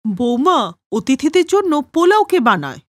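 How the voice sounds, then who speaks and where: Casting: matronly cartoon mother-in-law, stern and exasperated, high pitch, close dry studio sound. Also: very animated, normal speed, dry recording. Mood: annoyed. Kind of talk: animated moral-story dialogue.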